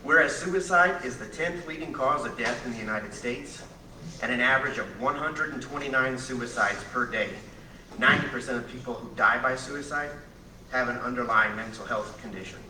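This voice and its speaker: A man with a loud, projecting voice, reading a formal proclamation at a county meeting without a microphone. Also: medium pitch, distant mic, a little hiss or hum. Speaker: community presenter reading a proclamation. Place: county courtroom. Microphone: room microphone from across the room. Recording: echoey courtroom picked up from afar.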